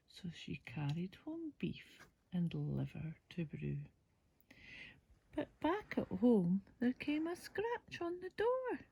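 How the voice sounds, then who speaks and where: A woman reading a rhyming picture book aloud as a bedtime story, with a breathy voice and expressive, unhurried emphasis. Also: a little high.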